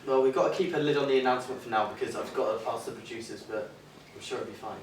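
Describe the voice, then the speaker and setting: A man's voice: mid-range voice, racing, close to an everyday voice. A man in a stage play, playing a dry, polite radio boss. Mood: encouraging. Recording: echoey distant stage recording, faint noise.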